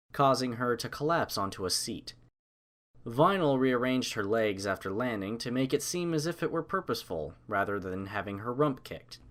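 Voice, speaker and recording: A man's voice: medium pitch, fairly bright tone. A man reading scripted story narration.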